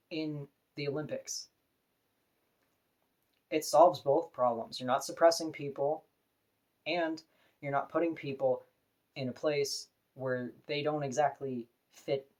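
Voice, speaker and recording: Woman, deep voice, earnest, opinionated, plain-spoken, personal vlog monologue. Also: fairly even intonation, a little slow, long pauses, darkish tone. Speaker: vlogger. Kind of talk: personal talking-to-camera vlog.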